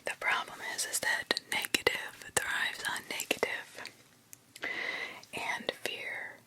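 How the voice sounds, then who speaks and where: Woman, ASMR storyteller; soft whisper throughout, very bright edge, reacts with casual swearing. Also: slow. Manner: reacts with mild swearing and disbelief between stories. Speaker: ASMR storyteller reading scary stories.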